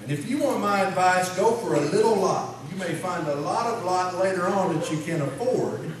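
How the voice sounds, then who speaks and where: A man playing a slow-witted, folksy small-town fellow who rambles literally in a comic radio sketch. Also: high-pitched, lightly acted. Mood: rambling, obtuse. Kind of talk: comic radio-show sketch before a live audience. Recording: echoey noisy hall, distant microphone.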